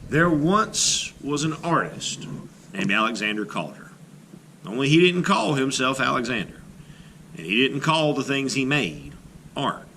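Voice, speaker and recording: Man, children's read-aloud, bright tone, lively expressive pitch, slight background ambience. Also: a little hiss or hum. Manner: expressive storybook reading, slowing on key phrases. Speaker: teacher reading aloud.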